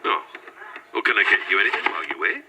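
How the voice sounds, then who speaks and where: A man's voice: fairly low pitch, big pitch swings, fast talker, over loud music. A man acting a blunt but helpful pub barman with a Northern English accent in an audio drama, clipped and plain-spoken. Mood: matter-of-fact.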